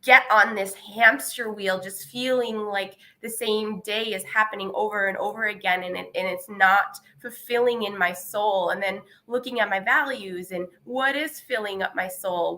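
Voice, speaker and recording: A woman speaking on a webinar panel, reflective and searching, pausing often with fillers. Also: medium pitch, sharp tone. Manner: thoughtful, searching, many pauses and fillers.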